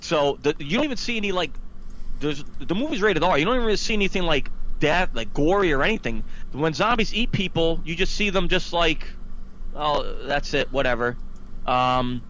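Guy in his 30s ranting on a podcast, kinda high voice, exasperated and emphatic, noisy background. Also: lively intonation, moderate pace, fairly bright tone. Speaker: podcast co-host. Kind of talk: casual opinionated movie chat.

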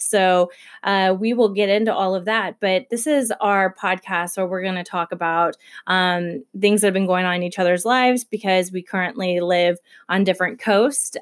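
Chatty woman podcast host. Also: mid-range voice. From Kentucky, raised also in Detroit. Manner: self-described monotone, chatty with frequent fillers and laughs. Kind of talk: casual podcast chat.

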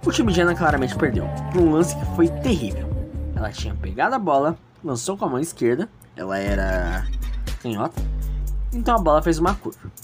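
Female storyteller, Brazilian accent, low register, animated delivery over clear music. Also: brisk. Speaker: narrator of an audio drama. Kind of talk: storytelling narration, loosely scripted.

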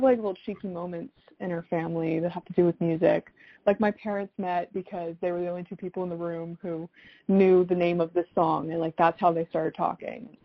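A young woman answers interview questions in a casual, chatty way. Her voice sits on the low side and often slips into vocal fry. The sound is dry and slightly dull. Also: no room sound. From South Florida, United States. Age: young adult. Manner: chatty, frequent "like" and youthful slang. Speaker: singer-songwriter guest.

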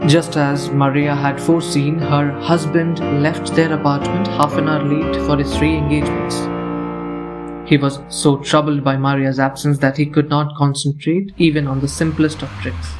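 A man's voice: on the high side, level tone, moderate pace. A man narrating an audio play from a script, with music behind him.

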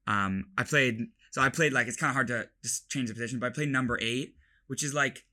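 Fast, bright young man, American-British accent. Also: medium pitch. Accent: American with slight British traces. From London, England. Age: young adult. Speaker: college football player, interview guest. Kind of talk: casual chat.

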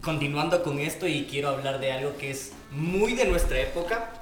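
A man's voice: fairly high pitch, moderate pace. A man with an Ecuadorian accent, hosting a podcast interview in a casual, colloquial and somewhat hesitant way. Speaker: podcast host interviewing a guest. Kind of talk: casual chat. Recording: roomy echo, clear music behind. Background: music.

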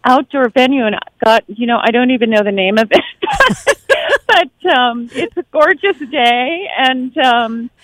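A woman's voice: high-pitched, sing-song, measured pace, a bit bright, dry recording, low-quality recording. A middle-aged woman from Japan who grew up in America and speaks with an American accent, chatting reflectively as an interview guest. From born in Japan, grew up in the United States. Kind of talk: casual conversational interview.

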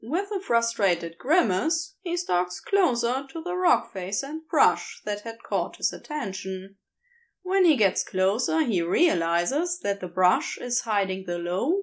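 Woman, storybook narration, higher voice, slow unhurried delivery, lively melodic reading. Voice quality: lively, expressive reading with wide pitch swings. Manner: slow, deliberate storytelling pace. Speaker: podfic reader. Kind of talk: scripted narration of fan fiction.

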